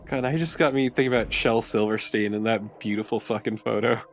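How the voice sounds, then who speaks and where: A man's voice: on the low side, quick pace, highs cut off, slight background noise. A man co-commentating on a video game in casual, sardonic banter with plenty of swearing. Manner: sardonic, profane joking. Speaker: co-commentator on a let's play. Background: video game music.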